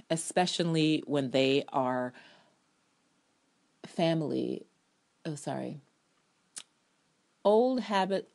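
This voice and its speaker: Low-voiced woman, emphatic dramatic essay reading. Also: creaky, warm tone. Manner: emphatic, theatrical, sardonic. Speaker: TV drama blogger reading own review aloud.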